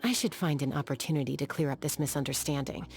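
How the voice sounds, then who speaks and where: A woman playing a calm, battle-hardened young sky gunslinger in a video game, measured, thoughtful and matter-of-fact, with a dry edge. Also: low-pitched, faint music behind. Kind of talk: video game character voice lines.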